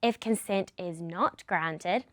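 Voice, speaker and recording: A woman's voice: on the low side, slow, a bit bright, no room sound, studio quality. A woman with a New Zealand accent giving a scripted business training presentation as a product lead.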